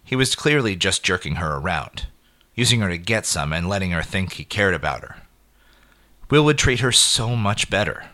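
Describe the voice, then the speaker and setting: A man narrating a scripted short story in an expressive, measured way with clipped phrases. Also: deep voice.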